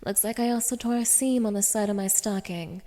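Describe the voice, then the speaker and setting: A cruel young dominatrix played by a woman in an audio roleplay. Her voice sits at a middling pitch for a woman, close and intimate, and she sneers at the listener with haughty, belittling scorn. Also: fairly quick, dark tone, natural voice. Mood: irritated, sulky. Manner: sneering, scornful, swearing, swinging from breathy murmurs to raised snaps. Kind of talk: intimate ASMR roleplay acting.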